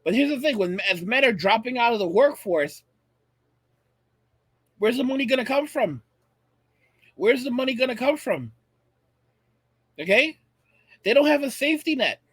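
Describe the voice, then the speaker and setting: A man's voice: very high voice, big pitch swings, normal speed, long pauses, a bit bright, slightly rough, no echo. An American man in his late thirties hosting an opinionated online commentary, speaking emphatically and repeating phrases for effect, addressing his viewers directly. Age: late 30s. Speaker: online video channel host.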